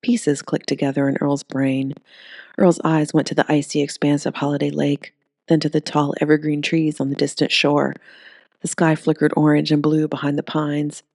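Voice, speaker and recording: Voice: medium pitch, level tone, normal speed, creaky, a little mellow, dry recording. An audiobook narrator reading a scripted story.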